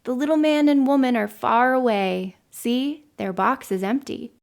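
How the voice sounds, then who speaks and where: A woman's voice: a little high, a little slow, creaky, voice pushed up. A woman voicing a strange, teasing village girl who half speaks and half sings. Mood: eerie.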